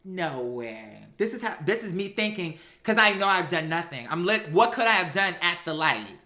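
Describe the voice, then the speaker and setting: A woman telling a personal story in an animated, sassy, emphatic way, with slangy, profane language and acted-out dialogue. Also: fairly low pitch, normal speed, reverberant. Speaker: storyteller recounting a personal experience.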